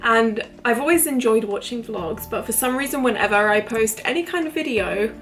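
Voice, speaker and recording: A woman in her twenties vlogging casually to camera, chatty and rambling, her voice a little croaky from illness. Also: a little high, normal speed, soft background music. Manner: chatty, rambling, lots of fillers. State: recovering from illness, voice a bit croaky. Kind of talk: casual talking-to-camera vlog.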